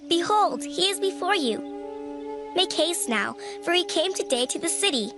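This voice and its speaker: Young maiden: high, eager, helpful scripture drama voice over clear music. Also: female voice, lively intonation, normal speed. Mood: eager urging. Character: young maiden urgently giving helpful directions. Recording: music behind the voice.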